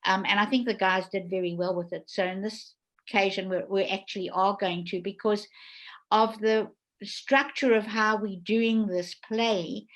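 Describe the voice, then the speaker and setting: A woman hosting an online panel talk, explaining things deliberately and relaying questions from attendees. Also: mid-range voice, rough recording. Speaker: host and theatre director.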